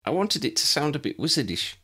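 A man's voice: on the high side, very animated, fast talker, sharp tone, breathy edge, voice pushed up, full character voice. A man voicing a small, shy, curious young schoolgirl in a storybook, earnest and questioning. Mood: sheepish.

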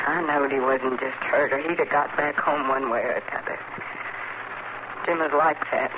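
A woman playing a stoic, grieving farm widow with a rural American accent in a 1950s radio western, plain and restrained. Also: low-pitched. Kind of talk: 1950s radio drama acting. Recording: noisy old radio broadcast recording.

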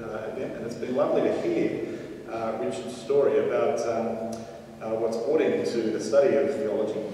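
Low, flat-voiced man introducing a speaker. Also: a little hiss or hum. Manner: halting, with many fillers, softening into a quiet prayer. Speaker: event host. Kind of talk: introduction and prayer. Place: large hall with an audience. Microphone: podium mic, distant. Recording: echoey hall from far off.

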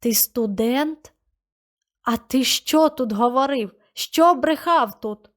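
A woman's voice: high-pitched, slow pace. Woman doing an old village man, hostile, suspicious, accusing, grumbling.

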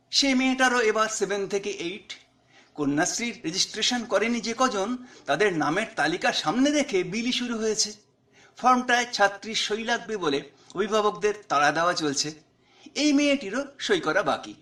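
A man's voice: high voice, animated, normal speed, bright, forceful tone. A man with an Indian Bengali accent reading his own short story aloud as dramatic, conversational narration.

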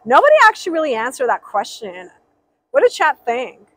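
A woman's voice: sits high, sing-song, a little fast, fairly bright tone, slight background noise, rough recording. A young woman livestreaming outdoors by a pool, rambling casually and reading out viewers' names. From Persian.

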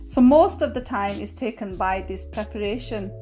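A woman giving a relaxed, chatty voice-over of her home cooking, with fillers now and then. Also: medium pitch, normal speed, soft background music. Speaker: cooking vlogger. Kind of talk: casual voice-over narration.

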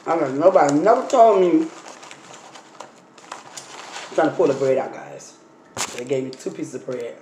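A woman in her thirties with a slightly hoarse voice, chatting casually to her viewers while unpacking and eating a takeout meal at her table. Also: low voice, animated, moderate pace, pauses often. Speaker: vlogger. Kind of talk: casual mukbang storytime chat. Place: dining table in a home room. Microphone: phone camera on the table. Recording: echoey room with faint noise.

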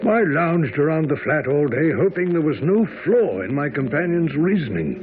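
A loyal, earnest gentleman narrator in a radio mystery. His voice is high and expressive, over clearly audible music, with a thin broadcast sound. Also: normal speed, phone-quality sound. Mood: measured, storytelling. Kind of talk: radio drama narration and acting.

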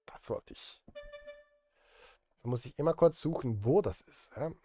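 A man's voice: medium pitch, lively intonation, measured pace, warm tone, soft background music. A man in his thirties chatting casually on a live stream while he works, rambling and muttering to himself in a self-deprecating way. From Switzerland. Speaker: live streamer and film composer. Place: indoor desk at a streaming setup.

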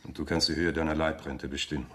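An actor playing a wealthy, controlled husband in a German radio drama, speaking in a measured, polished way with pauses. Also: male voice, a bass voice, fairly even intonation, sharp tone, slight background noise. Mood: resigned, businesslike. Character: wealthy suave older husband, controlled, wistful, condescending.

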